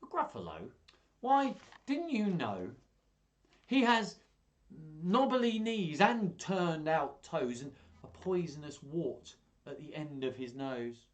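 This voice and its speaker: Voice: medium pitch, very animated, slow pace, voice pushed up. A reader voicing a small, clever mouse in a picture book, speaking in a prim, polite way. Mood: boastful.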